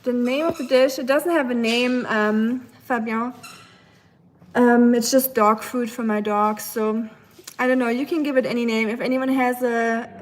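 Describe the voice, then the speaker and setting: A woman's voice: on the high side, fairly even intonation, a little slow. A young woman from Germany with a German accent, chatting casually with livestream viewers while she cooks at home, giggling and cooing at her dog. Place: home kitchen.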